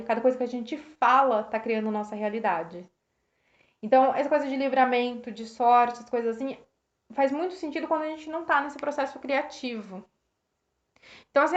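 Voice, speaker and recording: A Brazilian woman with a slightly hoarse voice, reflecting casually on a book for her live viewers, with many restarts, thanking people by name. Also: sits high. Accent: Brazilian Portuguese. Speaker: host reading and reflecting on a self-help book. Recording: roomy, dull lo-fi live stream.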